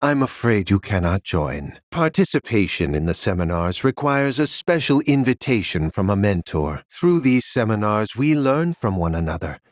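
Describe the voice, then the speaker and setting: An actor playing a courteous, devout disciple in a video game, welcoming visitors in a formal, measured way. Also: male voice, fairly low pitch, big pitch swings, no echo, clean studio sound. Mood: reverent, courteous.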